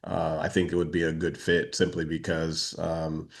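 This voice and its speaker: Deep-voiced man hosting a business meetup. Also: completely flat delivery, normal speed, creaky. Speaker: meetup host and moderator. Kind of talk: casual business networking talk over video call. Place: online video meeting.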